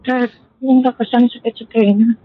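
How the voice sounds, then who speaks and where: A woman's voice: a little high, steady tone, moderate pace, husky, no echo, phone-quality sound. A woman with a Filipino accent chatting casually over a helmet intercom headset. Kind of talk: casual chat.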